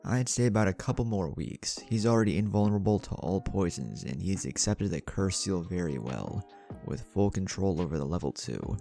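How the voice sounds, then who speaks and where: A man's voice: deep voice, animated, normal speed, vocal fry, warm tone, soft background music. A man voicing an analytical henchman who reports to his master in a matter-of-fact way, in a narrated story. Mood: clinical, measured.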